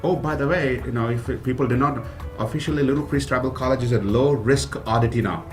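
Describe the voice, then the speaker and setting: A man's voice: mid-range voice, fast talker, faint music behind. A man from South India whose first language is Kannada, a college president talking earnestly and gratefully in a casual radio interview, often restarting his phrases. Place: radio studio.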